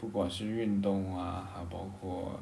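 A man's voice: deep voice, little pitch movement, unhurried, warm tone. A man interviewing casually as a host, with a hesitant, attentive way of asking. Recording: echoey room with clear background noise.

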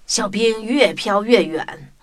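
A woman hosting and narrating a children's audio story in an expressive scripted style, speaking directly to young listeners. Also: medium pitch, slow. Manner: addresses children directly, animated.

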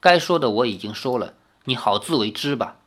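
A man's voice: medium pitch, expressive, fairly quick, fairly bright tone, voice pushed down. A man voicing a stern, proud older martial master and father who speaks weightily. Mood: resigned.

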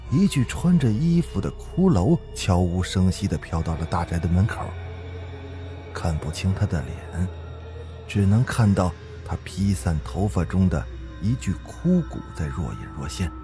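A man's voice: low-pitched, expressive, normal speed, warm tone, faint music behind. A man hosting and narrating ghost stories in a conversational, folksy, suspenseful storytelling style. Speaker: horror podcast host and narrator.

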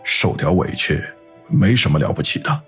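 Man voicing a stern, very husky gangland man. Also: a bass voice, expressive, moderate pace, a bit bright, faint music behind, pitched below the performer's own voice, exaggerated voice. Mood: grim, resolute. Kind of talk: audiobook character acting.

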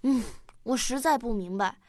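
A woman's voice: on the high side, moderate pace, darkish tone, no echo, studio quality, natural voice. A woman playing a curious, earnest young child in a radio drama. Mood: puzzled, curious. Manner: inquisitive, earnest. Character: curious, eager young child, grandchild in family.

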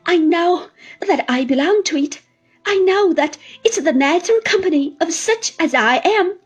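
A woman's voice: expressive, normal speed. A woman voicing a despairing young woman who sobs and cries out in anguish by a river. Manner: sobbing, anguished, high and loud.

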